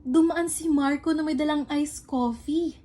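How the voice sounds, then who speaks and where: A woman's voice: high voice, fairly even intonation, unhurried, dark tone. A young woman reading scripted narration for a student-style radio drama.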